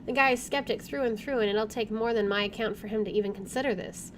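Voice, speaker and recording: A woman hosting a gaming video, reading visual novel narration aloud in an expressive way. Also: medium pitch, fast talker, slight background noise. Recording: faint background game ambience.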